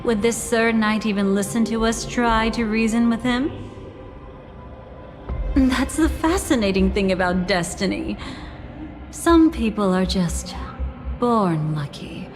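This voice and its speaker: A woman playing an enigmatic, teasing figure in a video game, measured, languid and knowing. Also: on the high side, animated. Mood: amused, teasing. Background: game music.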